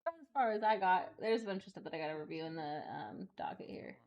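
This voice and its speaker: A woman's voice: fairly low pitch, fast talker, darkish tone, lo-fi sound. A woman running a judge character in a roleplay game, chatting casually and laughing easily, with dramatic pleading asides.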